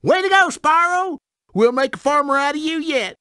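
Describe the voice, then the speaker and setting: Lively folksy country game farmer. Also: male voice, extremely high pitch, moderate pace, no echo, clean studio sound, heavily acted. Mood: earnest, folksy. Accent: rural American. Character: folksy, simple, good-natured cartoon farmer in a video game. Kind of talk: video game character dialogue.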